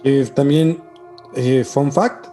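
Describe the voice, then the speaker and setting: A man's voice: mid-range voice, slow, mellow. A man with a Mexican accent co-hosting a podcast, chatting casually with plenty of slang and swearing. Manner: slangy, profane. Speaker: podcast co-host. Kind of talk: casual chat. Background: music.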